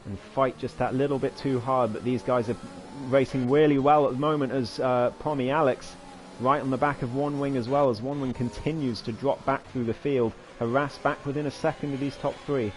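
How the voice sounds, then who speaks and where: A hoarse man giving live commentary on an online racing league, his voice climbing excitedly through each overtake. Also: mid-range voice, brisk, slight background noise. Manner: rising excitedly during overtakes, chatty asides. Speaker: race commentator.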